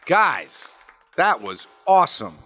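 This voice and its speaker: A man's voice: a little high, sing-song, slow pace, over a noisy crowd. A man hosting a casual interview, speaking in an affirming way and often repeating points back. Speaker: interviewer and host.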